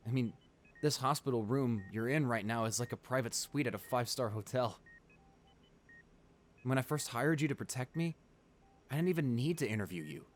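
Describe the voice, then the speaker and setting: A man playing a wealthy, devoted client pleading at his comatose lover's bedside in an intimate ASMR roleplay, emotional and halting. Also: mid-range voice, natural voice. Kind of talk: ASMR boyfriend roleplay, dramatic monologue.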